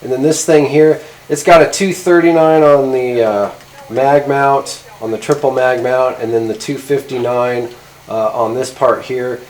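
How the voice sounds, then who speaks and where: A man's voice: medium pitch, steady tone, unhurried, darkish tone, low-quality recording. A middle-aged man casually demonstrating gear as a video host, talking in a rambling, hesitant, informal way. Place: indoor garage workshop at a workbench.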